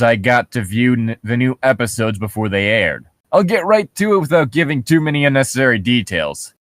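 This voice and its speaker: Guy joking around w his cohost, sweary n sarcastic, voice jumps around a lot, average pitch. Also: a bit bright, no echo. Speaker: co-host of a comedy reading show. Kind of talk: casual banter and mocking read-aloud of internet horror stories.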